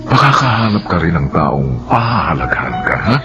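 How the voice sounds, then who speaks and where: An actor playing a kindly, reassuring middle-aged police officer uncle in a Filipino radio drama. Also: male voice, deep voice, big pitch swings, a little fast. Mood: calm, reassuring. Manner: fatherly, reassuring. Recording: dull sound, music behind.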